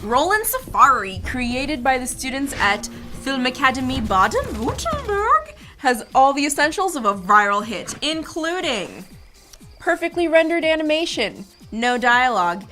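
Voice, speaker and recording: A woman's voice: high voice, big pitch swings, unhurried, bright, forceful tone, soft background music. A woman hosting an online video show, presenting scripted commentary with wry, playful asides. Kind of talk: scripted, jokey presenting about animation videos.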